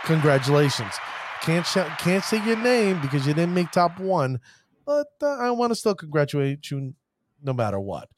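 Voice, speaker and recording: A man's voice: a little high, big pitch swings, moderate pace, crowd noise, background noise. A man hosting a card-game show, talking with showman flourishes and stumbling over player names. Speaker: podcast host. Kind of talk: hype-filled casual show talk.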